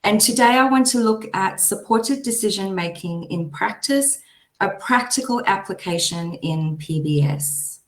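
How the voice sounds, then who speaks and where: Hoarse woman presenting, echoey room. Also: mid-range voice, lively intonation, measured pace. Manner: chatty, laughs easily. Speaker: presenter, behaviour support practitioner. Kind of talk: webinar presentation. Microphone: video call.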